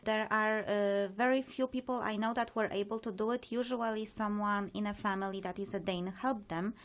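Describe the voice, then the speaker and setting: Woman co-host with quick teasing interjections. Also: medium pitch, steady tone. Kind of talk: casual live show chat.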